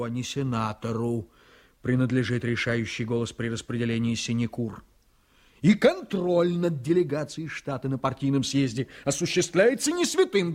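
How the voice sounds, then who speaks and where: An actor playing an ambitious, cynical, domineering politician and prosecutor in a radio drama, with a theatrical, emphatic delivery that moves between confiding and fierce. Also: male voice, medium pitch, a little mellow, natural voice. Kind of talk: Soviet radio drama acting.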